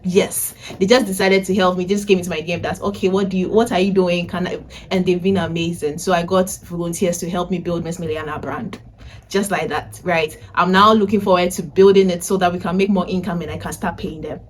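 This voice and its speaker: A woman's voice: lowish voice, steady tone, brisk, a little hiss or hum. A woman in her twenties talking casually to viewers in a chatty vlog style, often checking in with a tag question. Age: 20s. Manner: chatty, addresses viewers directly, often tags phrases with "right?". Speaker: vlogger and data analytics teacher. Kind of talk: casual vlog to camera, recap of personal updates. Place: indoors, a room in a family house.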